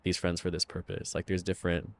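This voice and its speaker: A man's voice: low voice, completely flat delivery, quick pace, creaky, a little hiss or hum. A man in his twenties co-hosting a podcast, chatting in an easygoing way with a lot of "like". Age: 20s. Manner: chatty, easygoing, many fillers. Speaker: podcast co-host. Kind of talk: casual chat.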